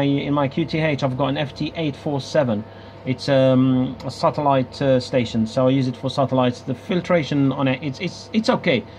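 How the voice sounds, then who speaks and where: A man's voice: mid-range voice, darkish tone, a little hiss or hum. Dull-sounding man talking on ham radio. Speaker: amateur radio operator. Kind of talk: casual ham radio contact chat. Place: radio club station.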